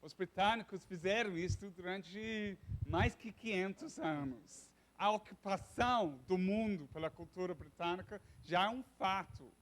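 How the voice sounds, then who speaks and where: Englishman lecturing in halting Portuguese. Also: high voice, fairly bright tone. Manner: halting, searches for words, many restarts. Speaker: university lecturer. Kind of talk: informal classroom lecture.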